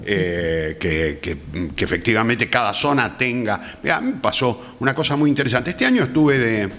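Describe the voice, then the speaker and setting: A man with a Rioplatense Argentine accent speaks as a panel guest in a reflective conversation, choosing his words deliberately. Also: medium pitch, big pitch swings, fairly bright tone. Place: theatre, speaking on stage. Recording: reverberant hall.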